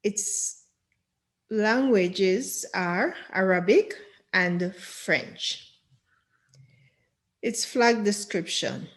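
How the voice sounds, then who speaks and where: Woman podcast host, talks slow and careful, mid pitch, kinda reads it out. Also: animated. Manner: slow, deliberate reading, enthusiastic welcomes. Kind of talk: scripted intro then casual interview.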